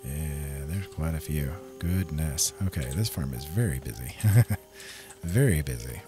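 An easygoing man with a low voice commentates casually on a game. He muses to himself as he plays, with prominent game music running behind him. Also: expressive, a little slow. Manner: easygoing, musing, talks to himself. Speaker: gameplay host. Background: game music and sounds.

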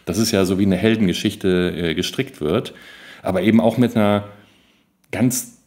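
A man's voice: on the low side, normal speed, a little mellow. A man co-hosting a podcast, reflecting casually and often adding tag questions. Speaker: podcast co-host. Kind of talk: casual panel discussion.

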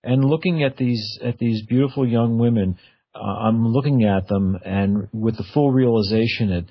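A man hosting a casual radio interview, talking in a rambling, halting way and often correcting himself. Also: medium pitch, slightly rough. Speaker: radio show host. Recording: slightly dull, lo-fi audio.

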